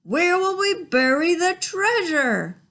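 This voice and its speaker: A bossy storybook pirate captain, acted out by a woman, bellows his orders. The words are stretched out very slowly and pushed up high, like commands shouted across a deck. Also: very animated, full character voice. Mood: alarmed.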